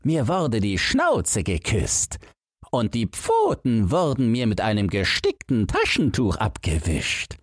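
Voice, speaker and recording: A man voicing a grumpy, nostalgic old chained dog in a storybook, with a hoarse, barking, boastful voice. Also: lowish voice, huge pitch swings, slow pace, no echo, studio quality, pitched above the performer's own voice, heavily acted. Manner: boastful, reminiscing, barking refrain. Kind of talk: storybook character voice.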